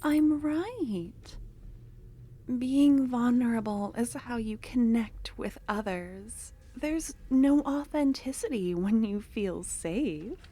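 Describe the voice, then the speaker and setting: A woman voicing an obsessive, possessive young cultist in an ASMR fantasy roleplay, speaking in a soft, breathy half-whisper that coaxes and soothes. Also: fairly high pitch, animated, slow pace. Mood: soothing, possessive.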